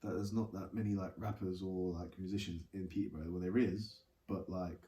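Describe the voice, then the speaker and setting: Low, mellow man, roomy and distant. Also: steady tone. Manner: halting, filler-heavy. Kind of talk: casual group chat. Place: room with several guests. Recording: roomy and off-mic.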